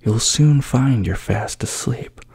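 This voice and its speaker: A man voicing a confident, helpful rabbit from a bedtime storybook, soft, breathy and instructive. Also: mid-range voice, slow, no room sound, full character voice. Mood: confident advice. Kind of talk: soft-spoken ASMR storybook reading.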